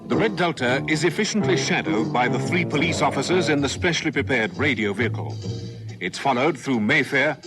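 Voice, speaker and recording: A man's voice: medium pitch, expressive, a little fast, close to an everyday voice. A South African man narrating a police radio serial in a scripted, dramatic style. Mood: measured suspense. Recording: vintage radio recording, loud music.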